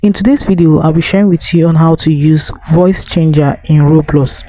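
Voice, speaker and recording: Mellow male tutorial narrator, dry sound. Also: a little high, fairly even intonation, moderate pace, no echo. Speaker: tutorial channel host. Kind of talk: simple step-by-step tutorial narration.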